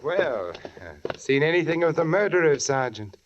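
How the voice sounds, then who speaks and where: An actor playing a sly, probing British newspaperman in a 1940s radio suspense drama, measured and insinuating in manner. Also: a little high, big pitch swings. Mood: casually probing. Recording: muffled 1940s radio recording.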